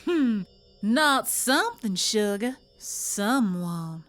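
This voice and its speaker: A woman's voice: mid-range voice, very animated, very slow, over music. A woman playing a bubbly, coy and sly heroine with a drawling manner in an audio drama.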